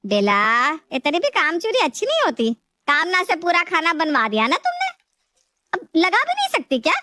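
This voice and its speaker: A woman playing a scolding middle-aged mother-in-law in an animated moral story, reproachful and exaggerated. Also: extremely high pitch, moderate pace, exaggerated voice.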